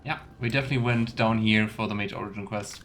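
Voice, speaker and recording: A man's voice: fairly low pitch, fairly even intonation, quick pace, fairly bright tone. A man giving casual, musing let's play commentary as a game streamer, with playful asides to his viewers. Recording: faint noise with keyboard clicks.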